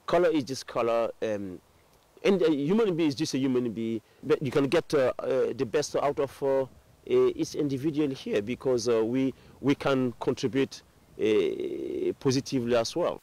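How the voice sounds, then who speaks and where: A man's voice: mid-range voice, expressive, moderate pace, darkish tone. A man interviewed, speaking hesitantly with many fillers.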